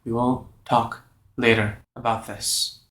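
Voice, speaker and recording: A woman's voice: a bass voice, flat delivery, takes it slowly, fairly bright tone, heavily acted. A woman playing a weary, exasperated adventurer in tabletop roleplay, curt and pleading, in an echoing room.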